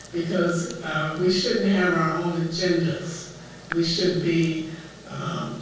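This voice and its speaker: A woman running for city council, speaking loudly and directly at a candidate forum. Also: low voice. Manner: loud, direct, earnest. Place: senior community center hall. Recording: very echoey hall, distant mic, noisy.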